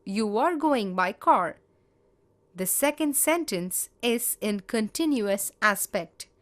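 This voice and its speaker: A woman teaching grammar, reading example sentences in a measured, deliberate way. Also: mid-range voice, expressive. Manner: measured, spells out each example. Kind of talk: slow, deliberate grammar lesson.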